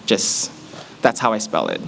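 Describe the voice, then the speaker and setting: A young man in his early twenties with an American accent, giving a humorous personal testimony to an audience in an animated, playful storytelling manner. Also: on the low side, measured pace, gravelly, slight background noise. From Malaysia.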